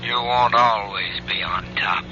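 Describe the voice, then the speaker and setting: A man's voice: on the low side, measured pace, close to an everyday voice. An actor playing a bitter, mocking condemned man in a menacing 1940s radio drama style. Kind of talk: 1940s American radio acting. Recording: dull old radio recording, music behind.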